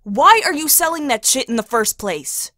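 Woman acting a high, pleading young sister. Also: sing-song, moderate pace, sharp tone, no room sound, studio quality, full character voice. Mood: exasperated, reasoning. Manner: pleading, earnest. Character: exasperated, pleading daughter and peacemaking sister. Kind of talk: audio drama acting.

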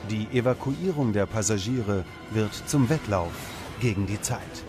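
A man reading scripted documentary narration in a measured, dramatic manner. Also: fairly low pitch, lively intonation. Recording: music under the voice. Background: background music.

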